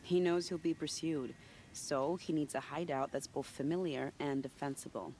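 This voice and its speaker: A woman's voice: low voice, fairly even intonation, moderate pace, darkish tone, slight background noise, natural voice. An actress playing a sly, flirtatious female spy in a game, teasing and confiding in a playful tone. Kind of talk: video game cutscene acting.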